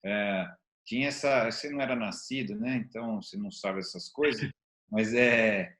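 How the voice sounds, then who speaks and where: A man's voice: fairly low pitch, moderate pace, a bit bright. An older Brazilian man hosting a casual conversational interview. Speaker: interviewer, host.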